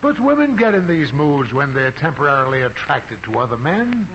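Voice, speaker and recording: Blustering boss on noisy old radio. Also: male voice, fairly high pitch, sing-song, normal speed, fairly bright tone, lo-fi sound. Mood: sardonic. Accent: American. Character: cranky blustering middle-aged male boss, sarcastic about his wife. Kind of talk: 1950s radio sitcom acting.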